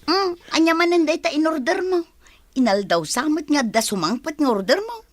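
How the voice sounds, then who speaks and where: Feisty, high-pitched Ilocano young woman. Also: big pitch swings, moderate pace, dry recording, studio quality. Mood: incredulous. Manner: indignant, questioning retorts. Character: feisty, exasperated young single working woman. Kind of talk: Ilocano radio comedy drama acting.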